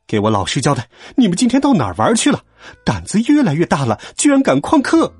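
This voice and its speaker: A fretful, scolding mother from a children's audio story, acted by a man. Her high voice swoops about as she nags and questions, tender-hearted underneath. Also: wildly swooping pitch, husky, soft background music, full character voice. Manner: shrill nagging, rapid questions.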